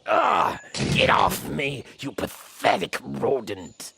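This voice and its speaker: A man's voice: fairly high pitch, wildly swooping pitch, slow pace, creaky, background noise, full character voice. A man playing a proud velociraptor robot warrior in a radio drama, with haughty, solemn declarations. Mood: disgusted. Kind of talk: animated-series radio drama acting.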